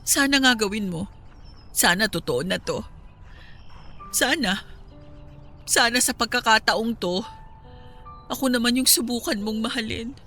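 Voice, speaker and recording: Filipino radio drama wife: wounded yet firm, pleading, forceful bright tone, music underneath. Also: female voice, a little high, a little slow, long pauses. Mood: wary, pleading. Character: hurt but firm pregnant wife, guarded and pleading.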